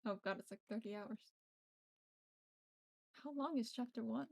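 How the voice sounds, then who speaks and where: A woman's voice: on the high side, normal speed, gravelly. A woman game streamer talking casually to her chat, rambling with frequent ums and the odd swear word. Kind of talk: casual live-stream chat while playing.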